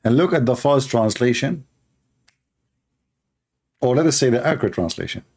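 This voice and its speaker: A man's voice: mid-range voice, animated, moderate pace, darkish tone, slightly rough. A man whose first language is Arabic, giving sarcastic, mocking commentary and laughing often. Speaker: online debater.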